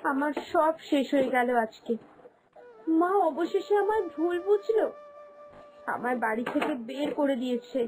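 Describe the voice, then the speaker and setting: A woman's voice: sits high, lively intonation, measured pace, pauses often, darkish tone, poor audio. A woman voicing a meek, innocent young daughter-in-law in a cartoon, pleading submissively and sorrowfully. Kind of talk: cartoon storytelling dialogue. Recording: voice over background music.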